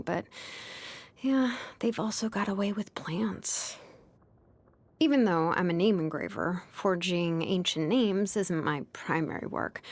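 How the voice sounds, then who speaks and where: A young woman voicing a laid-back, wry blacksmith in a video game, talking in a casual, musing way with dry teasing. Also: on the low side. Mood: candid, thoughtful. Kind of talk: video game character voice lines.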